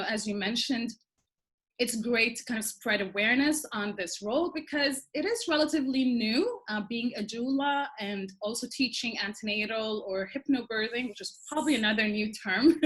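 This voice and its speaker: A woman's voice: fairly high pitch, poor audio. A woman in her thirties, originally from Sudan and raised in Dubai, speaking as a doula guest on a video-call interview, explaining at length with many ums. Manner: warm, explanatory, frequent ums.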